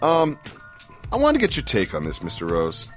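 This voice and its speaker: A man's voice: medium pitch, wildly swooping pitch, moderate pace, soft background music. An American man co-hosting casual sports radio banter, speaking emphatically, often repeating himself and asking lots of questions. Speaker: sports talk show co-host.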